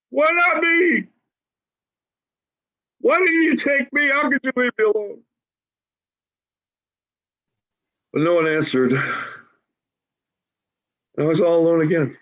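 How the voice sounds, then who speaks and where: A man in his forties acting a grieving, wry homeless man in a staged play reading, halting and emotional in a conversational way. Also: high voice, big pitch swings, a bit bright, exaggerated voice. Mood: anguished. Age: 40s. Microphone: video call. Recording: dull, lo-fi video call audio.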